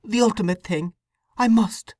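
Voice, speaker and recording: A woman doing a high anguished young woman. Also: moderate pace, mellow, no echo, clean studio sound, close to an everyday voice. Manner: anguished, pleading, breathless. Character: young distraught woman torn by conscience.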